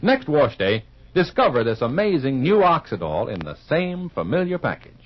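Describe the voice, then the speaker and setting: A man announcing on 1950s radio, reading scripted pitches and narration in an emphatic, folksy, polished way. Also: sing-song, normal speed. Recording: muffled vintage radio recording.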